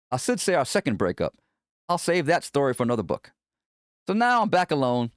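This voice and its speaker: A man narrates a memoir in a slightly high voice with very animated intonation. The delivery is blunt, wry and conversational, and the sound is dry and close. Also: no echo. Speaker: narrator reading a book chapter aloud. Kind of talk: scripted first-person memoir narration.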